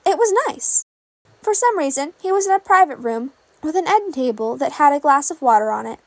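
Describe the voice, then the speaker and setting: High-pitched woman narrating a story. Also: animated, brisk, no room sound. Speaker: fan fiction reader.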